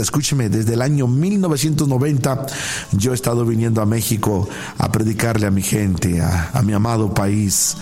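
A Mexican man with a mid-pitched voice hosts a live devotional broadcast as a pastor. He talks casually and devoutly to his listeners, effusive with greetings and blessings. He often doubles words and phrases for emphasis. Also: darkish tone. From Puebla, Mexico.

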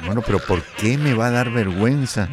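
Deep, animated Mexican man hosting. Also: brisk, very noisy. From Zacatecas, Mexico. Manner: jovial, folksy. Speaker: live show host. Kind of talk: casual chat with audience.

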